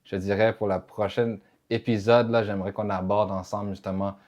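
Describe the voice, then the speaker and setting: A man's voice: lowish voice, flat delivery, a little fast, a little mellow. A man from Quebec with a Québécois French accent, talking earnestly as a podcast host in a casual conversation and slipping in English words. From Quebec, Canada.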